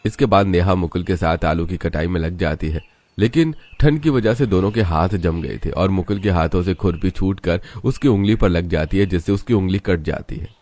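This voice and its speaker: A man's voice: deep voice, moderate pace, a little mellow. A man reading scripted narration for a moral story.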